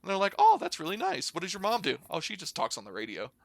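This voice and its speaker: A man's voice: high-pitched, huge pitch swings, quick pace, creaky, no echo. A young American man chatting casually, telling stories and explaining things in an informal, rambling way. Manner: informal, rambling, hedging with slang. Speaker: podcast guest, air traffic controller. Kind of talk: casual conversational storytelling and explanation.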